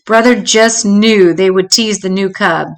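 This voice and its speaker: A woman reading a children's book aloud in an expressive storytelling style, shifting her pitch to act out dialogue. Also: unhurried. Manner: acts out dialogue with changing pitch.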